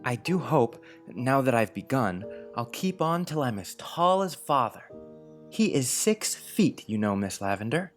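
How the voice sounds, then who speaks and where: Deep-toned, earnest young boy. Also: very animated, a little slow, soft background music, heavily acted. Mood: frank delight. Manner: careful, frank, earnest. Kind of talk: scripted audiobook drama acting.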